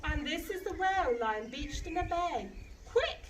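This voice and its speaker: A woman reading a rhyming children's picture book aloud in an animated, dramatic storytelling manner. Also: high-pitched, moderate pace. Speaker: storybook reader, school staff member. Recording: clear background noise.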